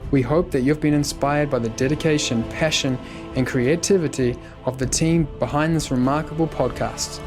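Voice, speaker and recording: Male podcast narrator, higher-pitched voice over music. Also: steady tone, moderate pace. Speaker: podcast host. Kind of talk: scripted narration.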